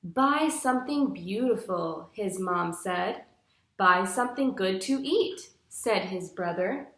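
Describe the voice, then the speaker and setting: A young woman reads a storybook to children in a teacher's instructive way. Her pitch moves up and down a lot, and she takes her time over each phrase. The sound is echoey, recorded in a room a little way from the microphone. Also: expressive, slow pace, a bit bright. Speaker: teacher reading aloud to pupils. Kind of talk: picture-book read-aloud for young children. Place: small living room, indoors. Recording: roomy indoor echo.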